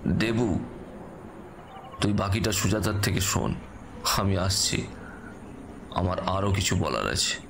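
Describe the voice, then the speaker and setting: Man acting low-voiced, slow-speaking father. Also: lively intonation, a bit bright, slight background noise, exaggerated voice. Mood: serious, hurried off. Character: father excusing himself to an old friend. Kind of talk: Bengali audio story acting.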